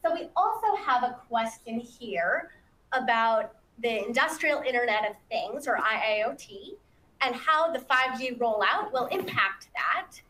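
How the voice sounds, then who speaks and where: A woman's voice: a little high, lively intonation, a little slow, sharp tone, slight background noise, poor audio. A woman moderating a panel in a factory studio, summing up answers and reading audience questions. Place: bright open factory studio set.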